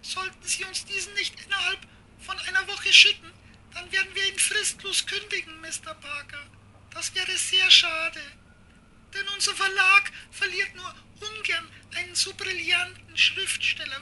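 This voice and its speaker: A woman's voice: extremely high pitch, steady tone, normal speed, sharp tone, heavily acted, rough recording. A woman playing a polite but stern publisher with a formal, businesslike manner in an amateur radio play. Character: polite but stern female New York publisher, admiring fan. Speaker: publisher on a phone call.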